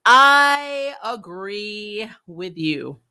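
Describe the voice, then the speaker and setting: Woman doing a chatty recap review, bright forceful voice, mid pitch, blunt and fed up, swears a bit. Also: lively intonation, drawn-out words, clean tone. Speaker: host of a TV show recap channel. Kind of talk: casual opinionated review chat.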